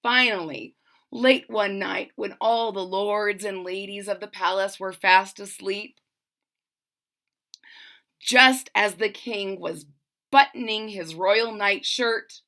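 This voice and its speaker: Slow, bright-voiced woman storyteller. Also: medium pitch. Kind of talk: expressive read-aloud of a children's picture book, with explanatory asides.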